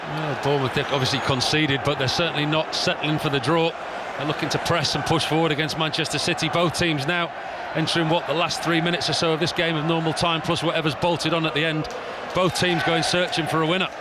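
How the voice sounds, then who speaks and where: A man with a fairly high voice offers easygoing analysis beside the lead commentator. His talk is conversational and unhurried. Loud, constant noise sits behind his voice. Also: level tone, a loud crowd. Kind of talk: match analysis.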